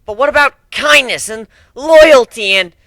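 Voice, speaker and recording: A young pony guard, acted out by a man, pitched high and pushed bright. He is boastful and hot-tempered yet easily flustered, a whiny recruit in a fantasy story reading. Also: lively intonation, a little slow, dry recording, exaggerated voice. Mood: flustered, earnest. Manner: indignant, stammering under pressure.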